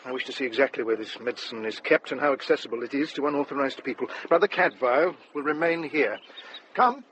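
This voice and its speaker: A man's voice: mid-range voice, fast, a bit bright, highs cut off, a little hiss or hum, lightly acted. An actor playing a stern, formal, authoritative medieval churchman with a commanding manner in a radio drama. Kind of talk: radio period drama acting.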